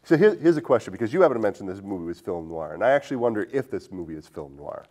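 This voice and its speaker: Low-voiced man chatting about film. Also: sing-song, rapid-fire, darkish tone. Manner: inquisitive, posing questions to his co-host. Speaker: film podcast host. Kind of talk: casual conversational film discussion.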